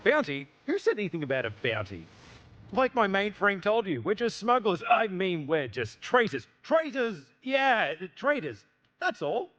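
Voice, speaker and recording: A brash smuggler captain from a sci-fi game, mid-pitched and wildly expressive. He is a sarcastic, defiant veteran with a mouth on him, heard in slightly muffled audio. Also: measured pace, exaggerated voice. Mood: nervous bluffing. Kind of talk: video game cutscene acting.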